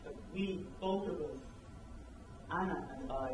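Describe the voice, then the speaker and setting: Male actor as regretful husband, middle-aged. Stage reading, deliberate and earnest, slightly high voice, distant microphone. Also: lightly acted. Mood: troubled, hurt. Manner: halting, deliberate, earnest delivery. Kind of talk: dramatic reading of a stage play. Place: echoing room or hall, recorded from afar. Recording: echoey room, distant mic, loud noise.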